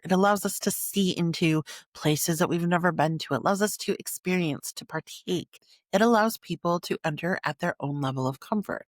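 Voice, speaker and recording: A woman podcast host delivering scripted narration in an earnest way, with short dramatic phrases and emphatic pauses. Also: on the low side, no room sound, clean studio sound.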